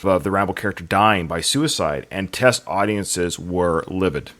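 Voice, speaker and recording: A man's voice: deep voice, expressive, moderate pace, fairly bright tone. A man hosting a podcast, talking through films in a casual, conversational way. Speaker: podcast host. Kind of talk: casual conversational film commentary.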